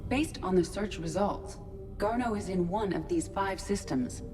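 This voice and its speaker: A woman playing a calm, precise artificial intelligence aboard a starship in a video game, speaking in a measured, formal, matter-of-fact way. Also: medium pitch, over music, natural voice. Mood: calm, analytical.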